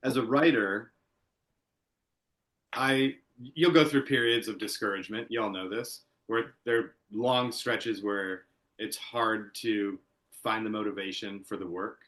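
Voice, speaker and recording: A man's voice: lowish voice, fairly even intonation, unhurried, fairly bright tone. A man, a guest editor and writer, talking earnestly and reflectively in a casual interview chat.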